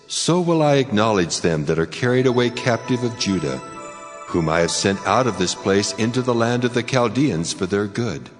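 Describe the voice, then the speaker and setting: A man playing the God of Israel, solemn, stately and commanding, in a dramatized scripture reading. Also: fairly low pitch. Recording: cavernous long reverb over music.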